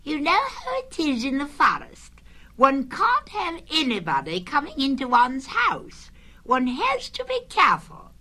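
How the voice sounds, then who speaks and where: Lady playing jumpy polite boy rabbit from a kids storybook, way up high, fussy n wary. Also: wildly swooping pitch, a little slow, pushed and bright, exaggerated voice. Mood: relieved, fussy. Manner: prim, evasive way of talking.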